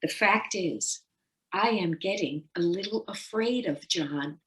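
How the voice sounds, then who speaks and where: A woman reading a first-person story as a confiding, fixated, increasingly paranoid Victorian wife, dramatic and deliberate, with loaded pauses. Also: on the low side, roomy sound, low-quality recording. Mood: suspicious, fearful.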